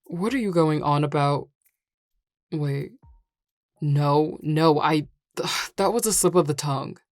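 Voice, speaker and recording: A woman's voice: sits low, slow, dry recording, studio quality. A woman playing a grumpy, defensive tsundere male bar worker, curt and irritated, in an audio roleplay. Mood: flustered, defensive.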